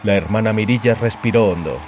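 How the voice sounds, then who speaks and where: A man's voice: fairly low pitch, fairly quick, darkish tone, soft background music. A man narrating a science fiction audiobook in a scripted, dramatic storytelling style.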